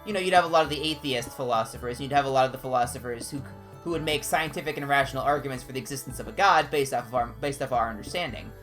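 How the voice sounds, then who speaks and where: A man with a higher-pitched voice, chatting casually and discursively as a game streamer. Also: very fast, faint music behind. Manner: animated, discursive, jumping between tangents. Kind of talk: casual rambling livestream chat during gameplay.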